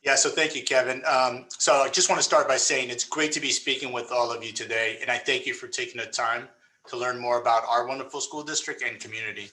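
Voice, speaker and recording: A man's voice: mid-range voice, steady tone, fairly quick, pushed and bright, a little hiss or hum, low-quality recording. A man from South Florida speaking on a career panel, earnest and grateful, with some fillers. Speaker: school district leader, panelist.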